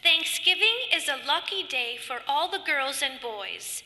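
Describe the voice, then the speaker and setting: A woman's voice: high-pitched, lively intonation, sharp tone, full character voice. A woman playing a shy, nervous little schoolgirl reciting a poem in a live radio play. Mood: careful reciting. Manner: timid, halting, near tears. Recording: long hall reverb on stage.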